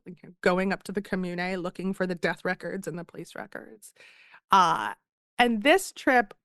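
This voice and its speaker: Woman, 30s, guest author on a podcast; chatty storyteller, smooth, clean dry sound. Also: medium pitch, no room sound. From Philadelphia. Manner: chatty, self-deprecating, lively storytelling. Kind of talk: casual podcast interview.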